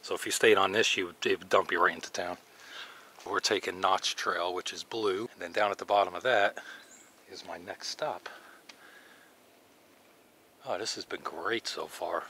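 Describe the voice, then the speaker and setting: A man's voice: deep voice, steady tone, moderate pace, pauses often, pushed and bright, slight background noise. A middle-aged man chatting casually and enthusiastically while hiking wooded mountain trails outdoors, recorded on an action camera. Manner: enthusiastic, musing aloud while walking. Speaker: hiking vlogger.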